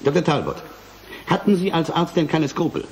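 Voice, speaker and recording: An actor playing a courteous but probing prosecutor, questioning formally and pointedly in a German radio drama. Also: male voice, on the high side, brisk, a little mellow, close to an everyday voice, low-quality recording.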